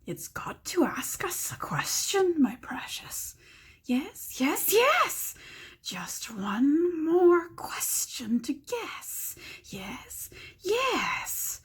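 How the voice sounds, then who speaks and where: A woman's voice: wildly swooping pitch, extremely slow, heavily acted. A woman voicing a greedy, wretched male cave creature who whispers, hisses and shrieks. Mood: wheedling. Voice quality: whispery hissing voice, shrill cries.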